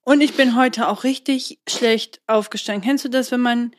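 A woman's voice: on the high side, steady tone, moderate pace. A young woman co-hosting a casual podcast, chatty and rambling, laughing often as she tells stories. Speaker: podcast co-host. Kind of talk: casual chat.

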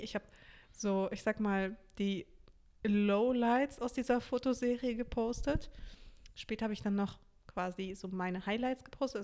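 A woman's voice: mid-range voice, darkish tone. A young woman co-hosting a podcast in casual chat, playful with a dry humor. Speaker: podcast co-host.

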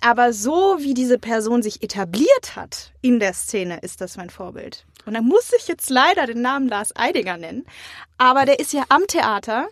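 A woman's voice: a little high, big pitch swings, moderate pace, no echo, studio quality. A young woman, answering interview questions casually as an acting student. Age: young adult.

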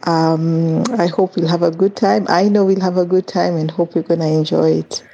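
A woman's voice: fairly low pitch, fairly even intonation, normal speed, gravelly, dark tone, slight background noise. A woman speaking in an earnest, slightly hesitant way. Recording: dull lo-fi sound.

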